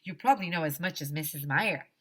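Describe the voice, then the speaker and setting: A woman's voice: low voice, fast, pushed and bright, husky, full character voice. A woman voicing an insistent, questioning young schoolboy in a children's storybook. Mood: insistent, curious. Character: pestering, literal-minded young schoolboy narrator of storybook. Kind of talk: children's storybook read-aloud.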